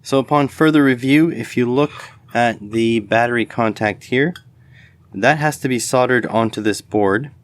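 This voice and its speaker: A man's voice: medium pitch, moderate pace, dry recording. A man giving casual hands-on commentary as host of an electronics teardown video, tentatively thinking aloud as he goes.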